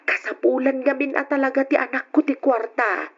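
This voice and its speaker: An actress playing an earnest, pleading family woman in an old radio drama, with a husky voice and Ilocano accent. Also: high voice, fairly even intonation, moderate pace. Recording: dull lo-fi old radio recording.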